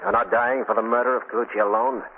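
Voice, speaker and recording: An actor playing a stern, resigned prison warden, firm and reproving, in a 1940s radio drama. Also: male voice, medium pitch, flat delivery, quick pace, natural voice. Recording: narrow, hissy 1940s radio recording.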